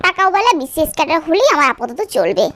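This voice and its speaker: A woman's voice: high voice, very animated, fast talker, a bit bright, full character voice. A woman voicing a vain, money-minded teenage bride in a cartoon, speaking in a boastful, haughty way. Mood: smug, boastful. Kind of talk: cartoon voice acting.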